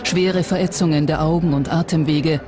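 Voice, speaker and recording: A narrator with a mid-pitched voice delivers scripted documentary narration. Music plays clearly behind the voice throughout. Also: fairly even intonation, normal speed. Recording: narration over prominent music. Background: background music.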